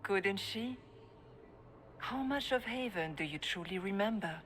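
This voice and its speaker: Slow-speaking, serene elderly holy woman. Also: medium pitch, animated, a bit bright, slight background noise. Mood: serene, challenging. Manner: slow, measured, formal. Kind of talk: video game voice acting.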